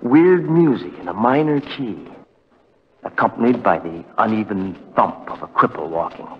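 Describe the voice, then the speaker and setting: An actor playing a highly nervous young man, anxious and urgent, in 1940s radio drama style. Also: animated, moderate pace, lightly acted. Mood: agitated, pleading. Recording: dull, noisy, echoing 1940 radio recording.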